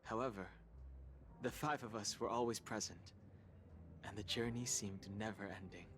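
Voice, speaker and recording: An actor voicing a composed, thoughtful male traveler in a video game, explaining things in a measured, formal way. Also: on the low side, a bit bright, faint music behind, close to an everyday voice. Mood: reflective.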